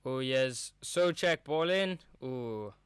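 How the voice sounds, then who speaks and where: A man's voice: mid-range voice, lively intonation, slow, clear, resonant tone, no room sound, clean studio sound. A young man hosting a live match watchalong, chatting casually and calling the play as it happens into a streaming mic. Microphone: streaming mic with a cover on top.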